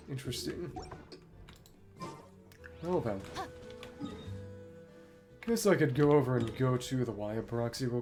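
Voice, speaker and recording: A man's voice: medium pitch, darkish tone. A man streaming a game, musing to himself in short asides and reading dialogue aloud in a casual way. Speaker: gaming streamer.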